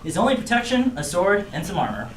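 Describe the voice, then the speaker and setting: Casting: female comic storyteller, mid-pitched with big swoops, echoey noisy room. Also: big pitch swings, fast talker. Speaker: puppet show storyteller. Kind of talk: scripted live story narration, comic asides.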